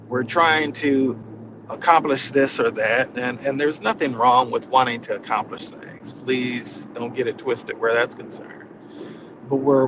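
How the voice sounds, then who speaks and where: A man giving an informal inspirational talk in a reflective, halting way, often repeating his phrases. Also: mid-range voice, background noise. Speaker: spiritual session leader.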